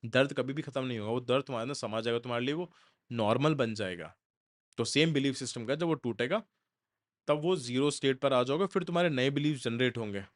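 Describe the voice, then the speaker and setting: A man's voice: mid-range voice, brisk, no echo, studio quality. A young man giving a motivational self-help lecture, talking straight at the listener and repeating points for emphasis. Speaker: self-help course instructor.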